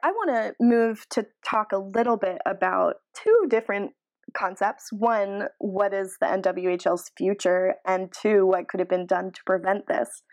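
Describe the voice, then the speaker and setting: A woman co-hosting a casual podcast, talking through analysis in a measured, explanatory way with careful qualifications. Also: medium pitch, darkish tone. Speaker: podcast co-host.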